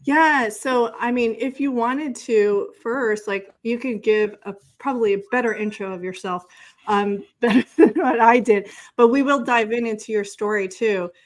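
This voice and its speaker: A woman's voice: on the high side, moderate pace. A woman hosting a casual online interview, speaking in an informal, chatty, encouraging way. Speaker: show host interviewing a guest.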